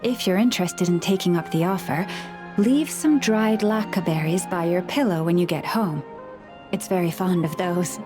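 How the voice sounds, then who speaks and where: An actress playing a gentle, caring woman who looks after forest animals, speaking in a soothing, measured way in a video game. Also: lowish voice, over music, natural voice. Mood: kindly. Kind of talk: video game character dialogue.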